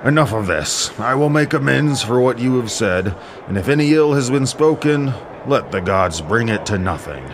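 A man's voice: mid-range voice, animated, normal speed, noisy background, natural voice. A man playing a haughty, commanding Greek warlord king in an epic audio drama, with declamatory, rhetorical delivery. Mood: conciliatory.

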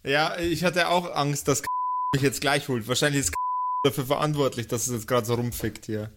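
A man's voice: mid-range voice, normal speed, heavy background noise. A man chatting casually in a crude, goofy way, dropping English slang into his talk. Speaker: podcast game master.

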